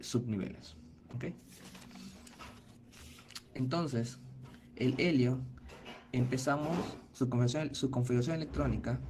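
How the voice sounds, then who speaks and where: A man's voice: medium pitch, background noise. A male teacher giving an online class, explaining methodically step by step and checking in with his students.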